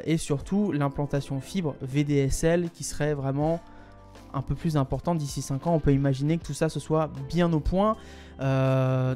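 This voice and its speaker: A man's voice: fairly high pitch, dark tone. A man hosting a casual live stream, rambling with fillers and talking to his chat. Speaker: streamer and show host.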